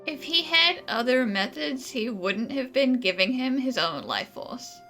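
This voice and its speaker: A woman's voice: high-pitched, sing-song, measured pace, pushed and bright, heavily acted. A woman voicing a bossy, sardonic snake companion in a video game, with a blunt, dismissive manner. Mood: blunt, exasperated. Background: music.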